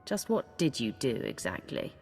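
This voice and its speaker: A skeptical, practical woman from a game heist crew. Her voice is low and animated, with a dry, questioning edge, and music plays clearly behind her. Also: normal speed, exaggerated voice. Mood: dry, curious. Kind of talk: video game cutscene acting.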